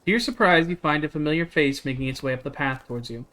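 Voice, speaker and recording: A man's voice: fairly high pitch, fast talker, a bit bright. A man livestreaming a game, chatting casually in a jokey, slangy way and reading its text aloud. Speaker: game streamer.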